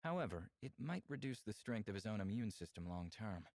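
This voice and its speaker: An actor playing a calm, caring alien man in a visual novel, speaking in a measured, earnest way. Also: fairly low pitch, dry recording, studio quality. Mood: calm, explanatory. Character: calm, caring male alien, protective symbiont host.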